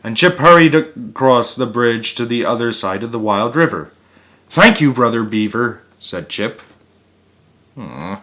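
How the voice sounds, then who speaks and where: A man reading a children's book aloud in a middle-pitched voice, pausing to add casual, chatty comments of his own. He sometimes trips over a word and restarts it, which keeps the reading relaxed and homemade. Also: a little hiss or hum. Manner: chatty asides and small chuckles between passages; occasional stumbles and restarts. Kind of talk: read-aloud with casual asides.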